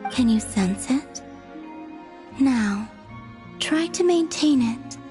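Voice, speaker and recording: A woman playing a serene, aloof young moon maiden in a video game, speaking softly in an unhurried, detached way. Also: high voice, animated. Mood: serene, instructive. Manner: unhurried, detached, cryptic, understated. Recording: music behind the voice.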